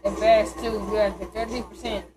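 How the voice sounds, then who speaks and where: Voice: mid-range voice, level tone, normal speed. A young adult, chatting casually and calling out directions during a video game. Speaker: companion watching the gameplay. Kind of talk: casual chat, backseat directions. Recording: noisy room, ambient sound behind.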